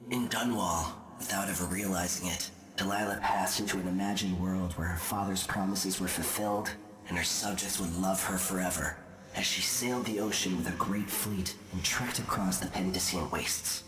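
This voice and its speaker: An actor playing an enigmatic, detached storyteller, narrating a video game epilogue in a measured, solemn manner. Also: male voice, low voice, steady tone, fairly bright tone. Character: enigmatic, detached male storyteller of an empire's fate. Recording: roomy echo, music behind.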